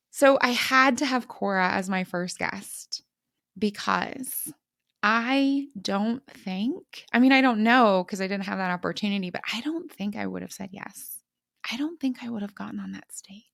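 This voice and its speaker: A woman's voice: fairly high pitch, vocal fry, sharp tone, no room sound, studio quality. A woman in her thirties hosting a podcast, telling a story with enthusiasm and chatting casually with her guest. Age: 30s. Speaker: podcast host. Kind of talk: casual conversational interview.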